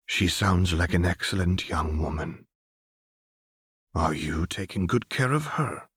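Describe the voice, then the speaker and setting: A man voicing a gentle, soothing middle-aged father who speaks in a hushed, tender, deliberate way in an audiobook. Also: low-pitched, expressive, slightly rough, no echo, studio quality, full character voice. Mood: gently curious.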